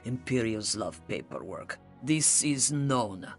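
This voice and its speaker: A man's voice: medium pitch, expressive, slow pace, faint music behind. A man playing a fretful, fussy bureaucrat in a video game, sounding anxious and fussy. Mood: fretful, exasperated. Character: fretful, fussy imperial bureaucrat who worries. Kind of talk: video game character acting.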